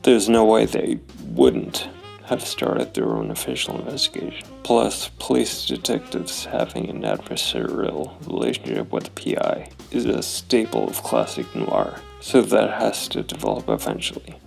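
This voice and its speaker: Creaky-voiced man narrating a game. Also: a bass voice, very animated, moderate pace, faint music behind. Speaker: solo role-player and narrator of a noir tabletop game. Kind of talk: thinking aloud, narrating game play.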